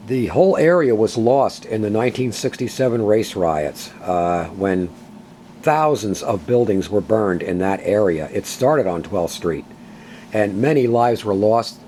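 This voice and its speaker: A man's voice: lowish voice, slight background noise. An older man, a longtime radio collector, talking casually with many hesitations as he shows an antique radio at home. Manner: relaxed, folksy, many uhs. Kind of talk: casual show-and-tell.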